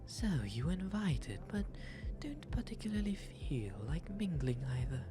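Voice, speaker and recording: A man acts out a genteel, bashful owl demon aristocrat for an ASMR roleplay. The voice is soft and breathy, slow but lilting with lively pitch. The diction is formal, teasing and self-deprecating, over a clear music bed. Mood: pleased, flirtatious. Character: genteel, shy, playfully flirtatious owl demon nobleman. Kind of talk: ASMR roleplay, intimate audio drama. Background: music throughout.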